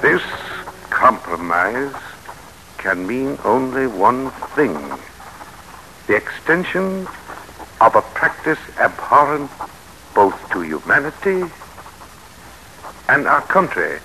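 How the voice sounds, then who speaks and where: A man's voice: medium pitch, sing-song, slow, pauses often, bright, forceful tone, heavily acted. An actor playing a proud, weary American senator in an old radio drama, oratorical and emphatic, torn by his conscience. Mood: brooding, strained. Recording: noisy vintage radio recording.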